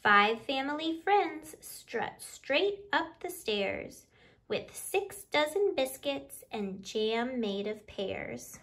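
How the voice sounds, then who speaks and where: A woman's voice: high-pitched, animated, takes it slowly, a bit bright. A woman in her thirties hosting a children's storytime, speaking expressively to young listeners in a living room, a few feet from the camera. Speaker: library storytime host. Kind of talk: children's picture book read-aloud. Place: small living room by a window.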